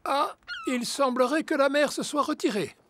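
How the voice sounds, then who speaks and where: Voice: high voice, moderate pace, noisy background, lightly acted. An actor voicing a proud, slightly grumpy older grandpa pig in a children's cartoon, explaining things self-importantly. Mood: explaining, apologetic.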